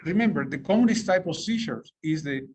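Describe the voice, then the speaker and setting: A man's voice: a little high, expressive, a little fast, darkish tone, breathy edge. A man from South America giving a rambling medical lecture as a physician, full of fillers and restarts. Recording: dull lo-fi recording.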